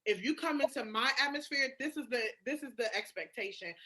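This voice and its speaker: A woman's voice: high voice, lively intonation, fairly quick, pushed and bright. A woman in a candid casual conversation, speaking emphatically and swearing freely. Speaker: podcast guest.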